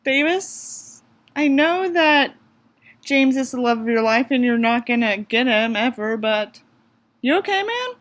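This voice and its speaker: A woman's voice: fairly high pitch, lively intonation, unhurried. A woman from the United States doing a mocking dramatic reading, theatrical, with sarcastic asides. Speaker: reader and commentator.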